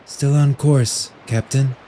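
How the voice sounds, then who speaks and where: A man's voice: medium pitch, steady tone, takes it slowly, dark tone, slight background noise, pitched above the performer's own voice, natural voice. A man voicing a blunt, practical sea captain in an audio drama. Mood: questioning.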